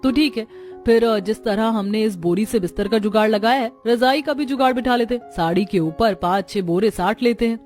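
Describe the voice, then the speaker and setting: A woman performing family dialogue for an animated story. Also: a little high, brisk, soft background music. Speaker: voice of the women of a family. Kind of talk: acted Hindi cartoon story dialogue.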